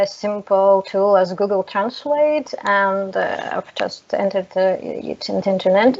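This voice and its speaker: A woman from Lithuania, a native Russian speaker, giving an online presentation in a hesitant, halting way over a dull, slightly noisy call. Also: mid-range voice. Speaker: seminar presenter.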